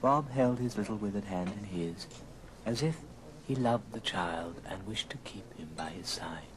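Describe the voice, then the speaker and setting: A man narrating a dramatic story in a theatrical, measured old radio style. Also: fairly low pitch, lively intonation, crowd noise, poor audio. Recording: old record, music behind.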